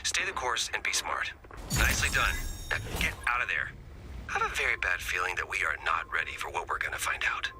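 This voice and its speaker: An actor playing a wry, excitable resistance technician in a video game, chatty and sardonic with a flair for drama. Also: male voice, deep voice, brisk, noisy background. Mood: uneasy.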